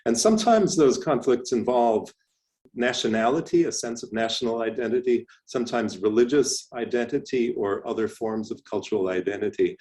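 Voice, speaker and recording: A middle-aged man from Canada giving a lecture presentation, speaking in a thoughtful, measured way with frequent restarts and fillers. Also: medium pitch, darkish tone. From Canada (Toronto). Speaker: professor giving a talk.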